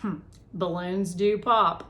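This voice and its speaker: A woman's voice: lowish voice, fairly even intonation, takes it slowly, sharp tone. A woman voicing a snide, dismissive schoolgirl in a children's storybook.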